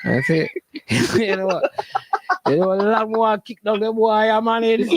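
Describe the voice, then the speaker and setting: A man's voice: high-pitched, moderate pace, noisy background. A man with a Jamaican accent hosting a casual sports call-in show, talking in a jocular way and repeating his phrases. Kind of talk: casual live call-in chat in Patois.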